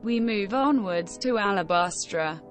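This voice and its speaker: A woman's voice: mid-range voice, expressive, a little slow, faint music behind, natural voice. Woman as a terse, resolute game heroine. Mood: determined. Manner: direct, serious. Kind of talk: fantasy video game acting.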